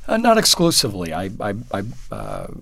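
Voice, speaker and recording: A man being interviewed as a photojournalist, answering thoughtfully and haltingly, with many restarts and fillers. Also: fairly low pitch, wildly swooping pitch, creaky, fairly bright tone. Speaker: photojournalist guest.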